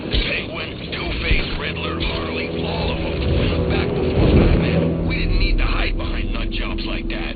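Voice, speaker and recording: A man playing a tough, gossiping street thug in a video game, talking in rough slang. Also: mid-range voice, narrow, tinny audio. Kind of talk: video game background character acting.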